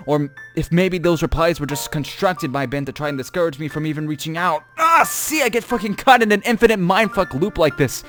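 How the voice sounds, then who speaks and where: A man's voice: fairly high pitch, a bit bright, close to an everyday voice. A man playing a paranoid, frightened young man in a creepypasta dramatic reading, telling his diary with anguished drama. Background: music.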